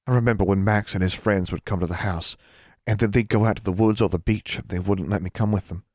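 A man voicing a proper, thoughtful young girl in a low, earnest manner. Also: fairly even intonation, fast talker, darkish tone, no room sound, clean studio sound, close to an everyday voice.

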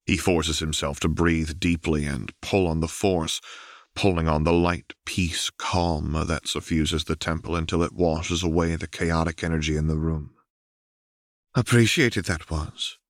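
A man narrating a fan fiction audiobook in a steady, even storytelling manner. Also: extremely low pitch, moderate pace, no room sound, studio quality.

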